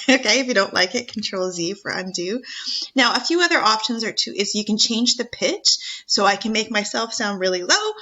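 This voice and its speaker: A woman teacher talking students through a screen-share software tutorial in a chatty, encouraging way. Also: mid-range voice, low-quality recording.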